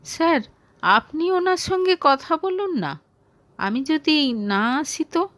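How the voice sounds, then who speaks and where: Woman reading as a guarded, high-voiced office woman. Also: lively intonation, slow, a bit bright, no room sound, heavily acted. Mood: pleading. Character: reserved, polite young female office employee.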